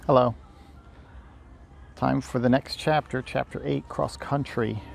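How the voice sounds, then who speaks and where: A man's voice: mid-range voice, steady tone, unhurried, vocal fry, faint music behind. A man reading a storybook aloud informally outdoors, in an unpolished way with occasional stumbles. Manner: unpolished, stumbles and rereads lines. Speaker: amateur audiobook reader and host. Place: outdoors by a river. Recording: faint outdoor ambient noise. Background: faint outdoor ambience.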